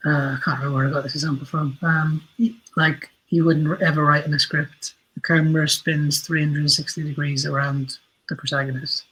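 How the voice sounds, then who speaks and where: A man with a husky voice talks reflectively as an interview guest. His delivery halts and restarts, then presses firmly on important phrases, often saying them twice. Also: on the high side, little pitch movement, pushed and bright, poor audio. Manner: halting, emphatic, repeats phrases for stress. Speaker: guest, audio drama writer.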